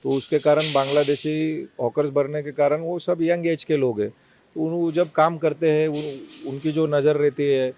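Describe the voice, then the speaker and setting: A man's voice: on the high side, fairly even intonation, moderate pace, darkish tone, a little hiss or hum. A man speaking as a city councillor, giving serious, insistent answers in an interview.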